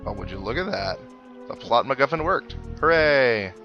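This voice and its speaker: A man's voice: medium pitch, lively intonation, normal speed, creaky, dull audio. A man giving casual video game commentary in a wry, sarcastic, mock-exasperated way. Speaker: host of a video game playthrough. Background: video game music.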